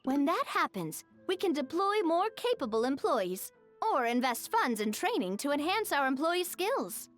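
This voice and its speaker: A woman's voice: high voice, sing-song, moderate pace, faint music behind. A woman voicing an upbeat, encouraging young businesswoman in a video game, cheerful and articulate. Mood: instructive, upbeat.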